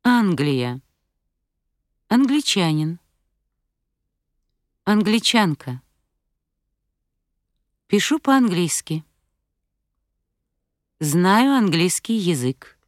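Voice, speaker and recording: A woman reading Russian practice phrases for learners with careful, clearly articulated delivery. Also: on the low side, very animated, drawn-out words, pauses often, dry recording, studio quality. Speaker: language course speaker.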